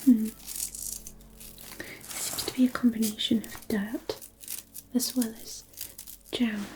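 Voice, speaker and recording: A woman playing a gentle, kindly forest fairy in a soothing fantasy ASMR roleplay, murmuring softly and reassuringly. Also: fairly high pitch, fairly even intonation, slow pace, slight background noise, rough recording. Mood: hushed, puzzled.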